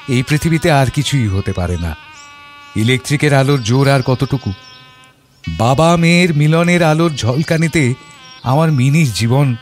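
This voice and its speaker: An actor playing a gentle, compassionate Bengali father who narrates his own story in a reflective, heartfelt way. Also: medium pitch, close to an everyday voice. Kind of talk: first-person audio story narration.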